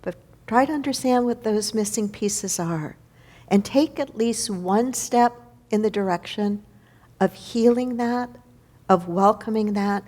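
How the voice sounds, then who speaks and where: A woman minister delivering a reflective spiritual sermon, slowing down to stress key words. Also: medium pitch, darkish tone. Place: church sanctuary.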